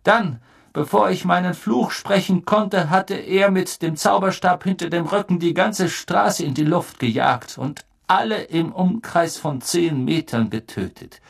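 Man acting an intense, haunted fugitive, gravelly. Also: high-pitched, a little slow, pitched above the performer's own voice, exaggerated voice. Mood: bitter. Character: haunted, intense male escaped prisoner.

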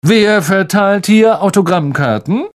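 A vain, theatrical teacher who booms and talks down to everyone, as a male narrator plays him. His voice sits fairly high and swoops with self-satisfied flourish. It is a character voice in an audiobook. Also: animated, unhurried. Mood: patronizing. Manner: patronizing, booming, theatrical. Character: vain, pompous grown man teacher.

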